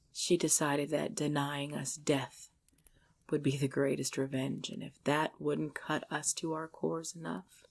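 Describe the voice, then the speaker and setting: A woman's voice: low voice, creaky, breathy edge, full character voice. A woman voicing an ancient, possessive vampire lover in an intimate ASMR roleplay, speaking breathily and formally, often dropping into a whisper. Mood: bitter, sorrowful. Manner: slow, formal, archaic phrasing, hushed.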